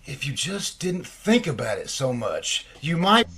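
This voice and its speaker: A man's voice: on the high side, big pitch swings, a little slow, a crowd in the background. A man playing an earnest, worried family doctor in an old-time radio drama, pleading and hesitant. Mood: pleading, reassuring.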